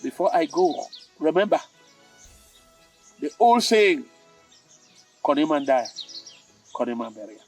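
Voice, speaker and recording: An older man with a Cameroonian accent tells stories and draws morals from them. His voice sits a little high, and he speaks theatrically, leaning on repetition and dramatic pauses. He is recorded at some distance from the microphone, with music in the recording. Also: very animated. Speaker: storytelling host. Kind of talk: spoken storytelling with moral advice. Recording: roomy, distant mic with music.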